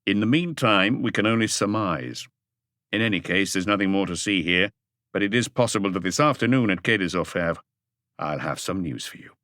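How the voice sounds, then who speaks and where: Man acting a calm, deep-voiced police chief inspector. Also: normal speed, no echo, clean studio sound. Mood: firm.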